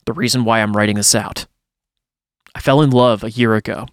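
A man's voice: lowish voice, steady tone, fairly quick, clear, resonant tone, dry recording, clean studio sound. A man reading a first-person horror story aloud in a dramatic storytelling style, as a podcast host would.

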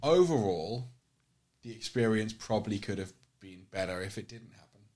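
A man's voice: lowish voice, lively intonation, moderate pace, darkish tone. A young man chatting casually as a podcast co-host, telling stories in a wry, sweary way. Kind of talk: casual chat.

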